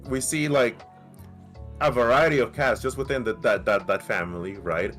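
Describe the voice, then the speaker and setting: A man with a light Mexican accent who co-hosts a podcast. He argues in a casual, animated way, often restarting and asking "right?". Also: mid-range voice, moderate pace, soft background music. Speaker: podcast co-host. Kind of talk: casual debate.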